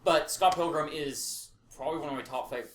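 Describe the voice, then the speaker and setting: A man's voice: fairly high pitch, fairly quick, a crowd in the background. A man co-hosting a casual podcast, giving emphatic, sweary opinions. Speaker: podcast co-host. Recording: roomy echo, faint background noise.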